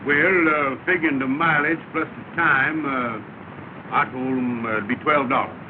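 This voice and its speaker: An actor playing a smooth-talking, scheming lodge leader who uses fancy words in an old film comedy. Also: male voice, mid-range voice, steady tone, normal speed, close to an everyday voice. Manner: slick, long-winded, fancy words. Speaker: comic schemer in a 1930 film. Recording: scratchy, tinny, hissy 1930 film sound.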